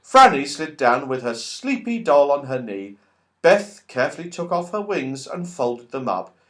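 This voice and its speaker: A man reading a children's story aloud as an audiobook narrator, expressive and animated. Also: mid-range voice, measured pace. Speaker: audiobook reader.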